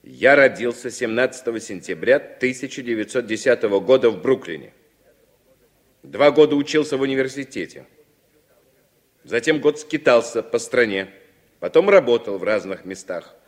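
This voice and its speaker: A man's voice: medium pitch, animated, moderate pace, long reverb tail, lightly acted. An actor in a Soviet radio drama playing a principled, stubborn American man in his forties who stands up for himself. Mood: defiant. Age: 40s. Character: principled, stubborn American leftist man, defiant under questioning. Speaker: lead actor and first-person narrator. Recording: 1960s radio play, music behind.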